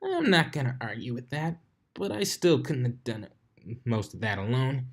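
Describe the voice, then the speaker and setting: A man's voice: mid-range voice, big pitch swings, moderate pace, voice pushed up. A man voicing a brash, teasing young female pegasus pony in a casual, cocky way for a fan fiction reading.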